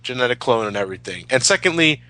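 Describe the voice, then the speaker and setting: A man's voice: mid-range voice, lively intonation, quick pace, bright, forceful tone, no echo. A man giving casual fan commentary, opinionated and emphatic, with plenty of swearing. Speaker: commentary host.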